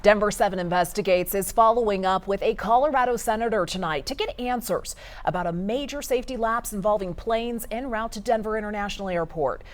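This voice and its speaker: Female news anchor, American, average pitch, television studio delivery. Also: brisk.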